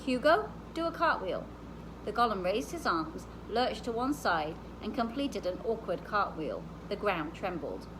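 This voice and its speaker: A woman reading a children's novel aloud in an expressive storytelling style. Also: mid-range voice, measured pace, few pauses, noisy background.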